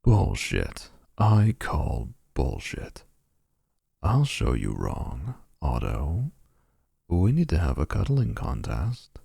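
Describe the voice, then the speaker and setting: A man playing a gravelly, smug vampire flirt. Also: a bass voice, huge pitch swings, slow, dark tone, no echo, clean studio sound, heavily acted. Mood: scornful.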